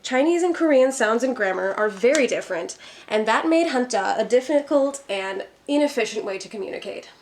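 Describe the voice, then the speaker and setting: A woman's voice: fairly high pitch, lively intonation, a little fast, slight background noise. A woman from Minnesota teaching a Korean lesson in an upbeat, friendly tone. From Minnesota, USA. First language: English. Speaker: Korean tutor. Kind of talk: scripted educational narration.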